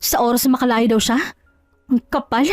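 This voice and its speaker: A woman's voice: a little high, fairly even intonation, fairly quick, no room sound, soft background music, lightly acted. A woman voicing a hurt, proud betrayed wife in an audio drama, scolding with scornful questions. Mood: indignant, resolute. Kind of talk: Tagalog audio drama acting.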